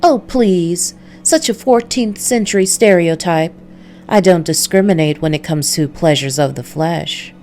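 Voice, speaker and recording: A woman's voice: fairly low pitch, unhurried, darkish tone, a little hiss or hum. A woman voicing a seductive, sly succubus from a visual novel, theatrical and taunting, with coolly menacing words. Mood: haughty, amused. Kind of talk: visual novel character read aloud.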